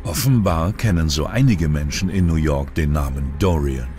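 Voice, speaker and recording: A man's voice: a bass voice, normal speed, over music. A man reads scripted true-crime documentary narration.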